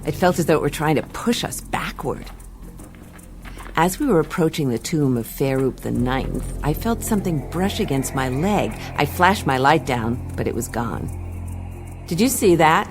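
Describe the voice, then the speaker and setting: A woman's voice: low voice, big pitch swings, unhurried, heavily acted. An actress playing a tough, wry female adventurer who narrates matter-of-factly in a science-fiction radio play. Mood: tense, suspenseful. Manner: matter-of-fact, wry narration with conversational asides. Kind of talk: radio play acting, first-person narration. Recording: loud music behind voice.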